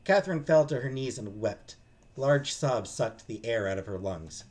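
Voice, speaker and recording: Man narrating with lively, animated pitch. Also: moderate pace. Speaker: game streamer. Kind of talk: dramatic read-aloud narration of a visual novel.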